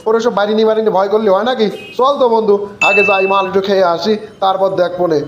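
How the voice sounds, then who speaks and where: A man's voice: high voice, steady tone, fast talker, very noisy, natural voice. A man voicing a teasing, bossy young village drinking buddy in a comic cartoon, slangy and nagging, with a Bogura accent. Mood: teasing, egging on. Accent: Bogura, northern Bangladesh. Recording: dull lo-fi sound.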